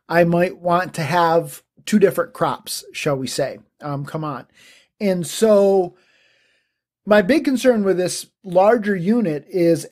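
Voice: mid-range voice, a little mellow. A homesteading podcast host giving a casual first-impressions review of gardening gear, thoughtful and plain-spoken, weighing pros and cons as they talk.

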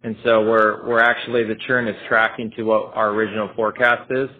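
A man speaking as a company finance executive on a conference call, explaining in a halting way. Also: lowish voice, level tone. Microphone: telephone conference call. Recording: narrowband phone-like conference line.

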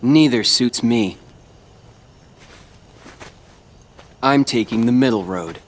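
An actor playing a brooding, determined teenage boy warrior in a video game, with a breathy, husky voice. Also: medium pitch, lively intonation, moderate pace, close to an everyday voice. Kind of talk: video game cutscene acting.

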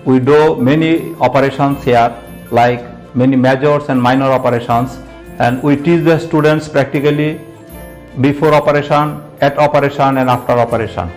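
A man, a surgeon, describing his work slowly and deliberately in an interview. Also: medium pitch, fairly even intonation, darkish tone, soft background music, low-quality recording. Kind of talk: interview statement.

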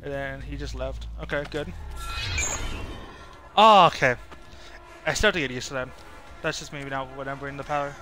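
A man's voice: mid-range voice, flat delivery, fast talker, over music. A man giving casual live gameplay commentary as a streamer, rambling and thinking aloud in a self-critical way. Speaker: game streamer.

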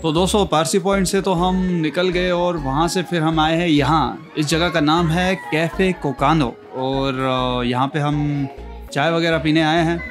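A young man narrating a casual travel vlog while exploring hill viewpoints and restaurants, relaxed and chatty, with many fillers and English phrases mixed in. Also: fairly high pitch, measured pace, soft background music. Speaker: travel vlogger.

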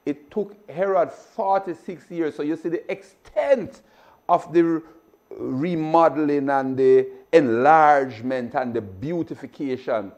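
A man's voice: on the high side, measured pace, a little mellow. An older man with a Jamaican accent, a bishop teaching a Bible study in an earnest, repetitive way, speaking to his congregation from an office. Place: office with desk and plant, indoors.

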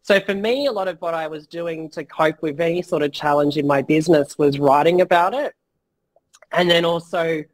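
A man guesting on a business interview, talking frankly and casually with many fillers and the odd swear word, his voice going a little hoarse. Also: fairly high pitch, moderate pace, low-quality recording. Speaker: guest entrepreneur.

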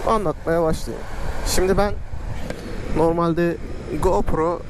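A man telling a casual vlog story in a halting, drawn-out way, speaking into a helmet microphone. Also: fairly high pitch, steady tone, noisy background. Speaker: motorcycle vlogger. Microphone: external microphone inside a helmet.

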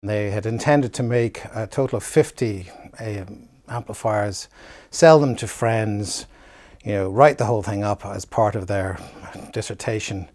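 A man's voice: fairly low pitch, measured pace, a little mellow, no echo. A middle-aged man interviewed in an indoor listening room, recalling company history hesitantly with restarts. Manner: hesitant, with many restarts and fillers.

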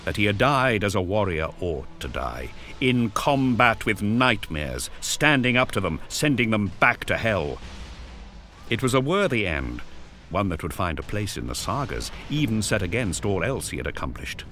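A man's voice: on the low side, very animated, normal speed. A man narrating an audio drama in an intense, solemn, theatrical storytelling style. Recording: loud music and battle effects behind. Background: loud music, battle sound effects.

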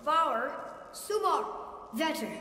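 A young performer playing an eager, earnest boy in a video game, with a high, youthful voice. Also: animated, takes it slowly, heavy background noise. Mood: focused, reading. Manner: reads out runes in short words. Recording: echoey hall reverb, loud music behind.

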